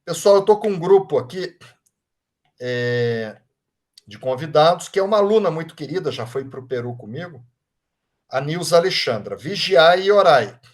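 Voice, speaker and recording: Brazilian guy reading chat comments aloud on a video call, slightly high voice, lots of "right?" tags. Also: lively intonation, moderate pace. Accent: Brazilian Portuguese. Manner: warm, informal, often adds tag questions like "right?". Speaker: Reiki master leading an online study group. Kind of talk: live group session, reading out chat comments.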